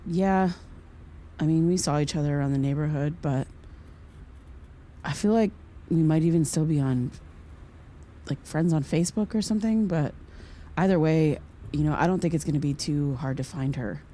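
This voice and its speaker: An actress playing a pensive woman in her thirties in an audio drama, speaking casually and haltingly as she confides like a podcast host. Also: sits low, dark tone. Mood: wistful, casual. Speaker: amateur investigator and podcast host.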